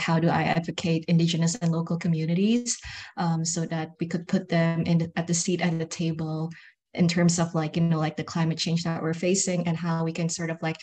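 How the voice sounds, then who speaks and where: A woman's voice: deep voice, a little mellow. A woman, a designer introducing herself in a chatty way, full of "like" fillers. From Indonesia. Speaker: bio designer. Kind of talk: self-introduction in an online class. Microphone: video call.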